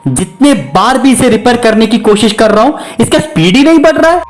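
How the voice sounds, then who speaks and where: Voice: mid-range voice, sing-song, a little fast, faint music behind, lightly acted. A storyteller voicing a poor, sentimental working man who talks in an earnest, pleading way in a cartoon story. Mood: worried, pondering.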